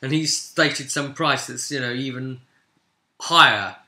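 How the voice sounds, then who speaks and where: Bright, echoey man recapping a game. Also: medium pitch, steady tone, unhurried. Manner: rambling, chuckling storyteller who acts out dialogue. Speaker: game master. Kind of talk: casual vlog recap of a tabletop role-playing session. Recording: echoey room sound.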